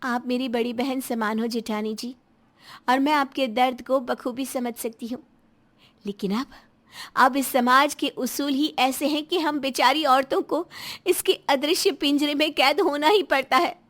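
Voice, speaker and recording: A woman's voice: high-pitched, steady tone, unhurried, a bit bright. A woman voicing a caring, outspoken young daughter-in-law in a melodramatic audio story, earnest and pleading. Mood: sympathetic.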